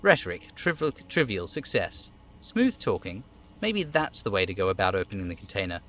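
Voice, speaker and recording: A man streaming a role-playing game, reading it aloud in a theatrical, expressive way with casual asides. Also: lowish voice, moderate pace, slight background noise.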